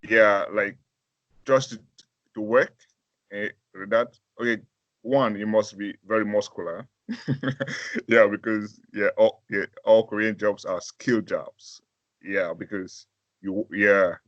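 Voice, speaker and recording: Male pastor, from Africa, deep low voice, halting and full of restarts, dry, dull call audio. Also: fairly even intonation, a bit bright, no room sound. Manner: halting, many fillers and restarts. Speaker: pastor and interview guest. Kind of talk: casual interview answers.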